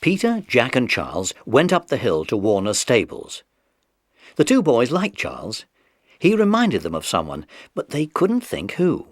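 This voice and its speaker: A man's voice: mid-range voice, wildly swooping pitch, measured pace, dry recording. A man narrating a children's mystery story as scripted audiobook narration. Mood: measured.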